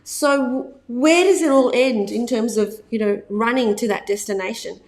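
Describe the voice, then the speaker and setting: A woman in her fifties with a slightly high voice talks casually to camera as a business coach. She leans on repeated words for emphasis and laughs easily between points. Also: moderate pace.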